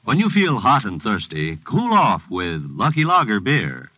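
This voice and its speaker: A man's voice: on the low side, wildly swooping pitch, unhurried, sharp tone, slight background noise. An American man reading station identification and advertising copy in 1950s radio style.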